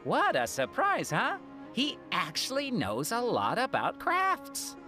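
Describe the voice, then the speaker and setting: A woman's voice: fairly high pitch, takes it slowly, faint music behind, exaggerated voice. A playful, teasing theater girl. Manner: teasing, animated. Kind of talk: game voice acting.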